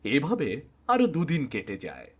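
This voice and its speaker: A man's voice: medium pitch, huge pitch swings, normal speed, breathy edge, faint music behind. A man narrating a scripted fairy tale as a storyteller.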